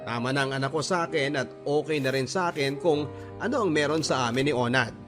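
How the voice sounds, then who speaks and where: A man's voice: medium pitch, fast talker. A man narrating a love letter in a dramatic, classic radio storytelling style. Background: music.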